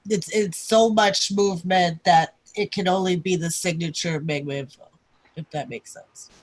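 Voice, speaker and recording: A woman's voice: on the low side, steady tone, moderate pace, low-quality recording. A woman co-hosting an online talk show, following along agreeably with short replies. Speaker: co-host.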